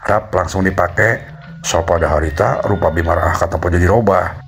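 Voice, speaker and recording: A man's voice: deep voice, moderate pace, gravelly. A man with a Sundanese accent narrating a folktale as its storyteller. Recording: music behind the voice.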